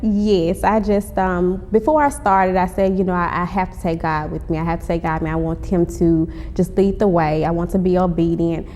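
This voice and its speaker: A woman's voice: steady tone, moderate pace, few pauses, warm tone. A woman being interviewed as a playwright, speaking conversationally and repeating phrases for emphasis. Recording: reverberant hall, faint rumbling noise.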